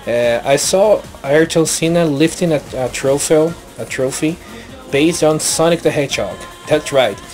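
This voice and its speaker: Halting Brazilian-accented man vlogging. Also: fairly high pitch, a little mellow, soft background music. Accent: Brazilian Portuguese. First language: Portuguese. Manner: halting, many restarts and self-corrections, earnest. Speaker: YouTuber. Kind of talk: casual vlog monologue.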